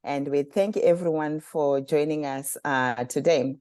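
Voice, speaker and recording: A woman from Zimbabwe hosting a community webinar, reading formal prepared remarks in a measured way. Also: low voice, a little mellow, dry recording, studio quality. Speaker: communications director and webinar host. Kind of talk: scripted webinar introduction.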